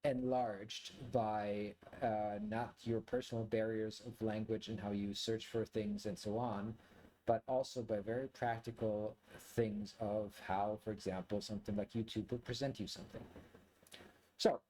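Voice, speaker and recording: A man from Germany, a native German speaker, giving a casual, reflective monologue to viewers in a rambling, halting way as he thinks aloud. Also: fairly low pitch, fairly even intonation, warm tone, slight background noise, poor audio. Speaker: video creator, commentator.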